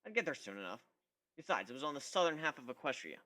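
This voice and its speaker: A man's voice: mid-range voice, very animated, fast talker, bright, forceful tone. A man giving an amateur first-person dramatic reading of a story in a wry, conversational manner. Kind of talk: first-person dramatic narration of fan fiction.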